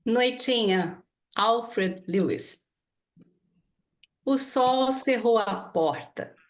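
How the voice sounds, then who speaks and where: A woman reciting a poem with measured, deliberate phrasing during an online reading. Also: a little high, animated. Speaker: poetry reader and series co-curator. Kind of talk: poetry recitation. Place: video call.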